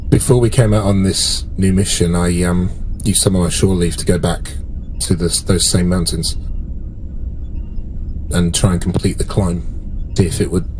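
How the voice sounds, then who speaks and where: A man playing a weary, wry alien officer in improvised tabletop roleplay, speaking in a dry, halting, understated way. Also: deep voice, fairly even intonation, a little mellow, lightly acted, rough recording. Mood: subdued, wry. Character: weary, wry long-lived alien male officer, now a patient. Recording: clear background noise.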